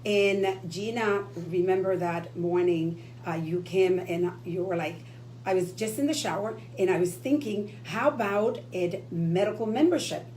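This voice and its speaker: A woman's voice: mid-range voice, unhurried, a little mellow, a little hiss or hum, poor audio. A woman from the Dominican Republic co-hosting a radio talk show, earnest and enthusiastic. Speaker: radio co-host.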